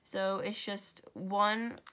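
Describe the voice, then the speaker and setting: A woman's voice: mid-range voice, level tone, drawn-out words, bright, forceful tone. A young woman with a stuffy, congested nose, chatting her way through a step-by-step crafting tutorial at home, with rambling asides and self-corrections.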